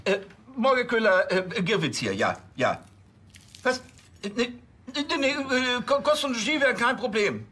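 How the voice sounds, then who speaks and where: An actor playing a flustered, self-important middle-aged police chief who stammers fussily in a TV crime comedy. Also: male voice, very high voice, very animated, moderate pace, sharp tone, husky, full character voice. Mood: eager, flustered. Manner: stammering, fussy, pompous.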